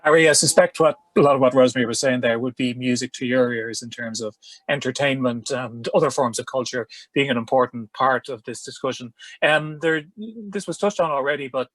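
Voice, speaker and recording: A man's voice: medium pitch, a bit bright, no room sound. Man moderating a panel, dry sound. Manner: measured, reading out audience questions.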